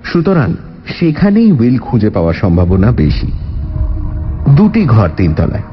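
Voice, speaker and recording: A man narrating a detective story as the sleuth's observant companion, in an expressive scripted style. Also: medium pitch, measured pace, dull audio, soft background music. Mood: descriptive, suspenseful.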